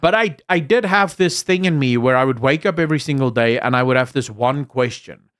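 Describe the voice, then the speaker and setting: A man's voice: mid-range voice, brisk, fairly bright tone. Young adult man, Afrikaans South African accent with American and British traces, casual live chat, opinionated, emphatic, fillers and restarts. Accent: Afrikaans South African, mixed with American and British. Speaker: livestream host reading chat.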